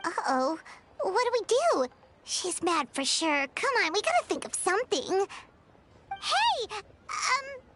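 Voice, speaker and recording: A woman voicing a small, chirpy, eager childlike fairy guide in a video game, with a squeaky, excitable delivery. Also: measured pace, noisy background, exaggerated voice. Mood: worried. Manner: speaks of herself in third person, excitable. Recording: music playing behind the voice.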